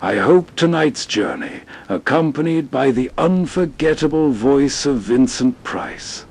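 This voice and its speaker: A man's voice: medium pitch, expressive, a little slow, a little mellow, breathy edge. A man hosting with scripted, bedtime-style narration. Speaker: host narrator.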